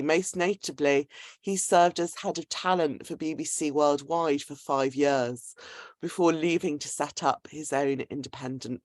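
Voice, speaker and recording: Woman host doing a speaker intro, low voice, really dry close sound, explains things clearly. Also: darkish tone, dry recording. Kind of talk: semi-scripted event introduction.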